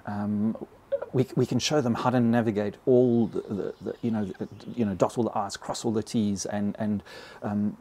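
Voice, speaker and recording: Low, creaky South African man. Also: steady tone, normal speed, warm tone, slight background noise. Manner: hesitant, often repeating words. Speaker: bison ranger, podcast guest. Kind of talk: conversational podcast interview. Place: small log cabin. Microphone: close podcast mic on boom arm.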